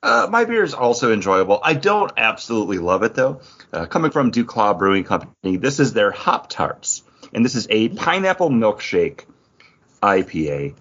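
A man's voice: fairly low pitch, sing-song, normal speed, fairly bright tone. A man talking casually as a podcast host, in dull lo-fi audio. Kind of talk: casual chat.

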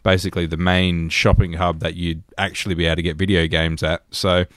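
A man's voice: low-pitched, flat delivery, brisk, fairly bright tone, dry recording, studio quality. A man in his thirties with an Australian accent, co-hosting a podcast and telling stories in a casual, chatty way. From rural New South Wales, Australia. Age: 30s. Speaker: podcast co-host. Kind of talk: casual storytelling chat.

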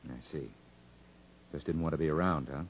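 An actor playing a weary, plain-spoken father with a troubled past, in a halting, understated 1950s radio drama style. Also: rumbling low. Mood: troubled, subdued. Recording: muffled, lightly hissy 1950s radio recording.

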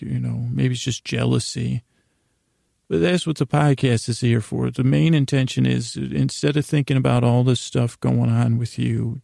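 A man hosting a bedtime podcast in a meandering, halting monologue with a gravelly voice, often interrupting himself. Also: medium pitch, dark tone, no echo, studio quality. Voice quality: gravelly, creaky. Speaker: podcast host. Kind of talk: rambling bedtime monologue to help listeners sleep.

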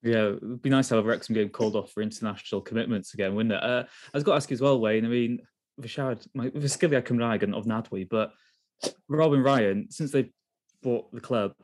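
A man's voice: medium pitch, steady tone, fairly quick, a little mellow. A man co-hosting a football podcast, asking long eager questions in a casual chat. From Wales. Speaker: podcast co-host.

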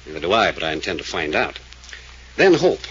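A man's voice: deep voice, very animated, brisk, fairly bright tone. A man playing a blunt, probing private detective in a 1940s radio drama, questioning insistently. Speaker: hired detective. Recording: dull lo-fi old radio recording with faint hiss.

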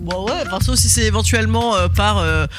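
A woman's voice: medium pitch, a little fast, over music. A woman co-hosting a light game show, joking and laughing often. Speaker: co-host. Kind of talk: playful banter.

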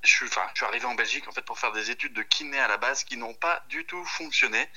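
A man in his thirties speaks as a guest on a phone call. His voice is strikingly bright and forward, at an ordinary male pitch. He talks informally and hesitantly, thinking aloud as he goes. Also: quick pace. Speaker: chef, podcast guest. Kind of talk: casual chat over the phone.

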